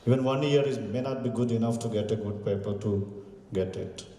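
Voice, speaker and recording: A man's voice: mid-range voice, brisk, mellow. A man gives a formal welcome speech at a university conference and ceremoniously greets the dignitaries. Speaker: university faculty member giving welcome address. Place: large conference hall. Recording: echoey hall, distant mic.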